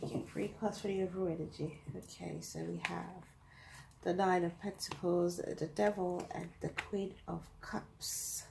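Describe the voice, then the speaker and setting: A woman's voice: on the low side, steady tone, a little slow, gravelly, background noise. British woman, tarot reader, stuffy nose, casual chatty delivery, lots of fillers. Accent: British English. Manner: chatty, thinking aloud with fillers. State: blocked-up nose from a cold. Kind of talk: casual tarot reading to camera.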